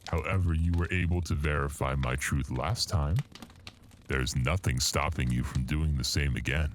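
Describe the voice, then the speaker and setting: A man playing a grim, proud, eloquent storyteller in a horror audio anthology, theatrical and measured. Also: rumbling low, slight background noise. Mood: ominous.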